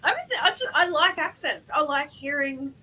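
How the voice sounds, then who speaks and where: A woman's voice: high voice, animated, poor audio. A woman with a Cumbrian accent hosting a casual group stream, speaking with many ums and laughing often. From Cumbria, England. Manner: slow, bright, laughs often, lots of ums. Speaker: stream host.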